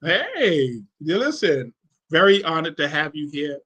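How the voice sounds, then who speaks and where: A man's voice: a little high, sing-song, unhurried, a crowd in the background. A man from New York hosting a radio show, chatting with a guest in a hyped, enthusiastic way. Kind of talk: casual interview chat.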